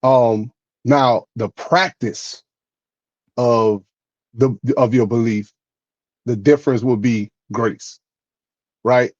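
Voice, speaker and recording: A man co-hosting a podcast who explains his views in a measured way, often checking in with "right?". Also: mid-range voice, steady tone, darkish tone, dry recording, dull audio. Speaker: podcast co-host. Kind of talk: casual podcast conversation.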